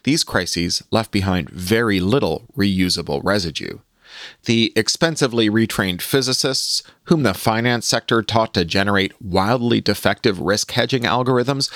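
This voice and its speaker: A man hosting a podcast, reading a scripted opinion column aloud in an articulate, measured and emphatic way. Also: lowish voice, fairly bright tone, dry recording, clean studio sound. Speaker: podcast host reading own magazine column.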